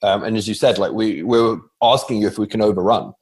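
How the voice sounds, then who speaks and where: A man hosting a podcast interview, relaxed and rambling with many fillers. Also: fairly low pitch, quick pace. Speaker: podcast host.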